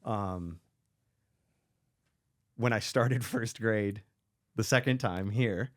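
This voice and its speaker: Podcast guest, young man from Israel, low voice, rambly casual talk, dry close mic. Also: extremely slow, dry recording. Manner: rambling, heavy use of "like" and "you know". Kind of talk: casual chat.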